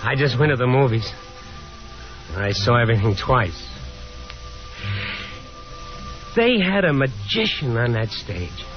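A man's voice: medium pitch, big pitch swings, moderate pace, over music, natural voice. Guy doing sarcastic restless young man, dramatic old radio acting, muffled vintage recording. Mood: reflective, soft. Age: young adult. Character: restless, sardonic grown son, frustrated poet. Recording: dull 1950s radio recording.

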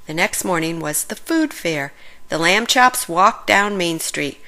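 A woman reading a children's story aloud in an expressive, animated way, with deliberate phrasing for young listeners. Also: fairly low pitch. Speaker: storybook reader.

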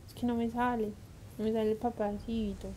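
Young woman streamer, fairly high voice, playful and chatty, faint background noise. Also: little pitch movement, a little fast. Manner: playful, thanks viewers, swears when frustrated. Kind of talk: casual live-stream chat while gaming.

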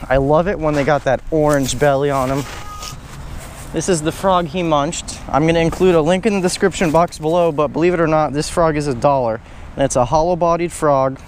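A young man with a fairly high voice talks casually through a fishing vlog. His wording is slangy and informal. The sound comes from an action camera outdoors, with clear background noise. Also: darkish tone. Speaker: fishing vlogger. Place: outdoors on grassy banks beside water.

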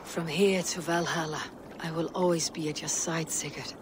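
High-voiced, stern Viking warrior played by a man. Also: fairly even intonation, normal speed, noisy background, lightly acted. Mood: devoted. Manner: blunt, solemn. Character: stern, loyal Viking warrior, blunt and fierce. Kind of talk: dramatic video game acting.

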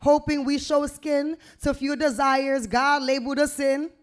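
A young woman performing spoken word poetry into a stage mic, with deliberate, emphatic, rhythmic phrasing. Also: high-pitched, flat delivery. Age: young adult. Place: small indoor venue stage with brick wall. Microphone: handheld-type vocal mic on a stand, close to the mouth.

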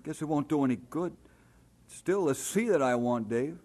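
An actor playing an earnest young Scottish working lad in an old-time radio drama. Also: male voice, fairly high pitch, lively intonation, moderate pace, a little mellow. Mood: weary, wistful.

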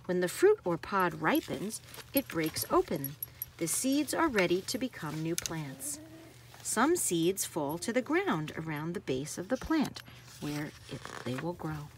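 A woman's voice: lowish voice, sing-song, a little slow, slight background noise, rough recording. A woman reading a nonfiction picture book aloud to children like a teacher, stressing key words.

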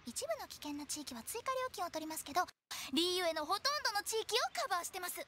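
A woman voicing a playful, pushy teenage girl funeral director in a Japanese anime game, with animated sales patter. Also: very high voice, fast talker, slight background noise, full character voice. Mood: eager, persuasive. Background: game music.

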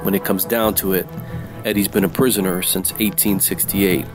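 A man playing a world-weary, wisecracking private detective, narrating an old-time noir radio drama in a deadpan way. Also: deep voice, moderate pace, over music, close to an everyday voice. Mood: world-weary, sardonic. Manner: deadpan, sardonic.

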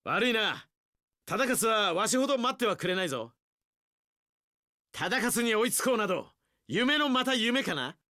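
An actor playing a resolute, sunny young samurai general in an action game, speaking in an earnest, heroic, declarative way. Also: male voice, sits high, very animated, unhurried, pauses often, bright, forceful tone, dry recording, clean studio sound, full character voice. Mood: confident. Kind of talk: anime-style action game voice acting.

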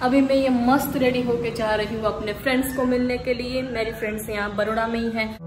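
A woman's voice: on the high side, steady tone, brisk, over music. A young woman vlogging on a phone held at arm's length, giving an upbeat, chatty tour of a shopping mall. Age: young adult. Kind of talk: casual mall tour vlog. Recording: loud crowd and noise behind.

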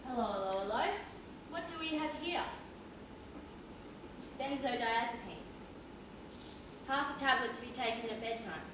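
A woman's voice: on the high side, expressive, normal speed, long pauses, close to an everyday voice. Woman acting a firm inspector in echoing theatre. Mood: dry, authoritative. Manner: polite but firm, questioning. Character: brisk, dry, authoritative police detective inspector. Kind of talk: amateur stage play acting. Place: large theatre stage, indoors. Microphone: far camera. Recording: echoey theatre from far off, noisy.

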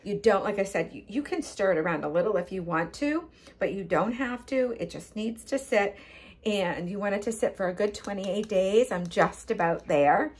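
A woman's voice: mid-range voice, animated, normal speed, husky. An older woman hosting a home cooking channel, explaining a kitchen how-to in a casual, chatty way, filmed on a phone in her kitchen. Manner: chatty, gestures with hands, frequent ums. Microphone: phone camera at close range.

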